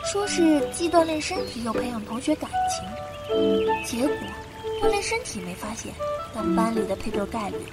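A woman acting a lively young university student in a Chinese radio drama, with a youthful, chatty way of talking. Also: on the high side, moderate pace. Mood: reminiscing. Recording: music behind the voice.

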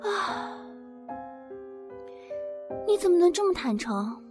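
High cheeky young girl, chatty and teasing, clear music behind. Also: big pitch swings, slow, heavily acted. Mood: bubbly. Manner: bubbly, teasing.

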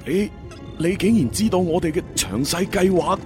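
An actor playing an earnest, anxious captive police officer, pleading in a radio drama. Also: male voice, sits high, lively intonation, normal speed, over music. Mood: shocked.

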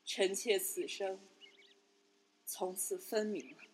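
A woman playing a composed, coldly ruthless imperial consort in a Chinese palace radio drama, quiet and controlled. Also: medium pitch, takes it slowly, slight background noise, natural voice. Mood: restrained.

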